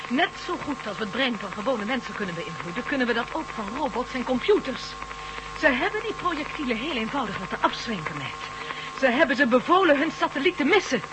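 Radio-play doctor: very high pitch, insistent and explanatory, noisy vintage recording. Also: male voice, big pitch swings, fast. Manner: explanatory, emphatic. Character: knowing doctor explaining an alien threat. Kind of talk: science-fiction radio play acting.

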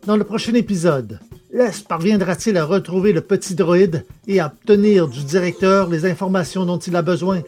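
A man's voice: high voice, moderate pace, darkish tone, faint music behind. A man presenting and interviewing on a podcast in a scripted announcing style.

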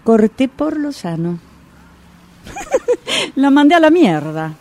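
An actress playing a gullible, resentful longtime client of a fortune teller in a radio drama, with an Argentine accent and a confiding, dramatic way of telling things. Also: female voice, high voice, wildly swooping pitch, darkish tone, exaggerated voice. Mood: wounded, confiding. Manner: confiding, dramatic storytelling with pauses.

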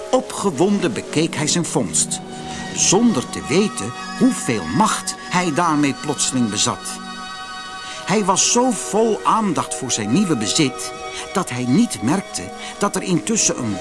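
A male storyteller narrates a fairytale from a script with very animated intonation. His voice is fairly high for a man, and there is faint music in the recording. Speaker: audiobook narrator.

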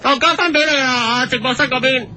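A man's voice: extremely high pitch, fast talker, sharp tone, slight background noise, heavily acted. A man voicing a fussy, nosy TV reporter and presenter in a parody radio comedy, with a Hong Kong accent. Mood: fussy, indignant.

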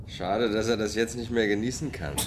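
An actor playing a cocky, defensive murder suspect in a German radio crime drama, evasive, snappy and flippant. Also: male voice, lowish voice, fairly even intonation, normal speed, noisy background, lightly acted. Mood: evasive, cool.

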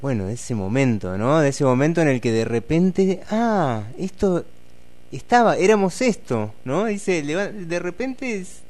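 A man hosting a radio programme, commenting in a casual, halting and reflective way. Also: on the high side, big pitch swings, a little mellow. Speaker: radio host.

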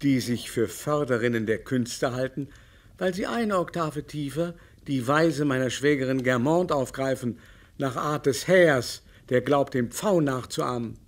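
An actor playing a haughty, capricious aristocratic baron in a radio play, speaking in a pompous, mannered, scornful way. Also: male voice, mid-range voice, unhurried. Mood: disdainful.

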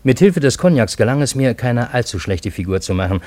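A man's voice: medium pitch, very animated, fairly quick, warm tone, dry recording, natural voice. An actor playing a genial, easily bewildered Parisian in a German radio play, urbane and wry in his literary storytelling. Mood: wry, narrating. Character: genial, bewildered Parisian man narrating a fantastic encounter.

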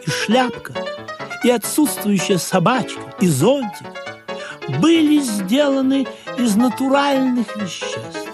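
Женщина выразительно читает комическое повествование от автора в радиоспектакле. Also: female voice, a little high, expressive, slow. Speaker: narrator. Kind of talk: expressive scripted comic narration of radio play. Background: music.

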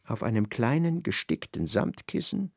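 A man narrating a literary radio drama in a measured, expressive way. His voice has some gravel and a lively melody. The sound is dry and close. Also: medium pitch, no echo.

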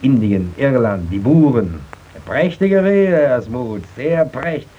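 A man's voice: medium pitch, lively intonation, a little slow, slightly rough, muffled recording. A man playing a dreamy, defiant mine worker with bitter irony, musing and theatrical in an old German radio drama. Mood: bitterly ironic. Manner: musing, theatrical, swinging from soft wonder to outcry. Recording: noisy archival radio play recording.

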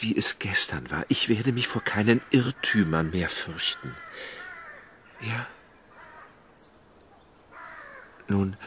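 An actor playing an anxious, devoted gentleman in love in a German radio drama, hesitant, earnest and pleading. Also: lowish voice, a little hiss or hum, full character voice. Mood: subdued pleading. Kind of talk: German radio drama acting of a classic novel.

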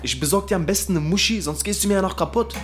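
A man's voice: sits high, quick pace, lightly acted. An actor playing a brash, teasing young male drug dealer in a radio drama, pushy and crude in streetwise slang.

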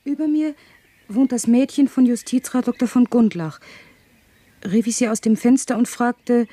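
An actress playing an indignant housemaid in a German radio play, telling grim household gossip in a hushed, dramatic way. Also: female voice, on the high side, moderate pace, dry recording, lightly acted.

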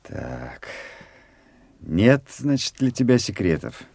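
An actor playing a calm, guarded middle-aged doctor with a gravelly, husky voice who answers tersely, in a studio radio play. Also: male voice, slow pace, no room sound, exaggerated voice. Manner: terse, guarded, low-key.

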